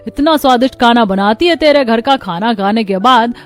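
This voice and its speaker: A woman's voice: fairly high pitch, expressive, normal speed, natural voice. A woman voicing a chatty neighbour lady. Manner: chatty, praising. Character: friendly middle-aged neighbour woman, chatty.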